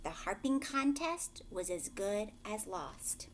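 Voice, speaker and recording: A woman's voice: mid-range voice, animated, takes it slowly. A woman teacher reading a storybook aloud expressively and explaining it to young listeners as she goes.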